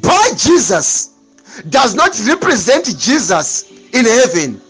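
A man's voice: very high-pitched, fairly bright tone, husky, poor audio. A man preaching a sermon, emphatic and repetitive, with dramatic swings in delivery.

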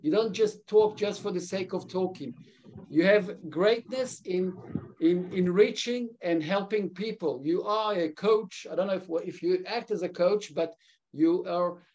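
A man's voice: high-pitched, darkish tone, muffled recording. A man in his fifties with a mixed South African and Israeli English accent, giving a live webinar talk over a video call with many restarts and fillers. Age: 50s. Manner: earnest, with frequent restarts and fillers. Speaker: webinar speaker.

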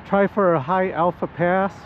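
A man giving casual, excitable live commentary outdoors to viewers as he pilots a model plane. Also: sits high, normal speed. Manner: excitable, whooping, talking to viewers while piloting. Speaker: hobbyist RC pilot and vlogger. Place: outdoors at a flying spot in wind and rain. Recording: muffled outdoor audio with background noise.

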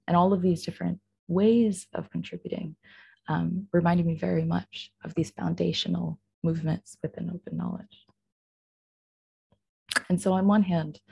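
Young woman doing a video-call keynote, gravelly low voice, dry close sound. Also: mellow, no echo, dull audio. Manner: reflective, many fillers and restarts. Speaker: keynote speaker, community manager. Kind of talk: online conference keynote. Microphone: computer mic on video call.

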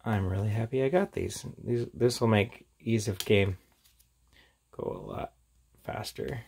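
A man's voice: lowish voice, measured pace, creaky, a little mellow, husky. A man giving a casual, off-the-cuff product review in an informal, chatty way as he presents. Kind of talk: casual unboxing and review chat.

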